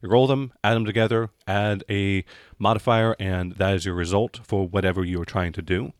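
American male game master, slightly deep, emphatic but plain-spoken rules explainer, dry close recording. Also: steady tone, moderate pace, smooth, dry recording.

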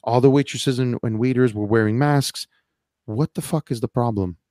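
A man with a mid-pitched voice, recorded dry. He talks in a casual chat, stumbling over words and repeating himself as he presses a point indignantly. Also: normal speed, mellow, no room sound. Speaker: podcast guest.

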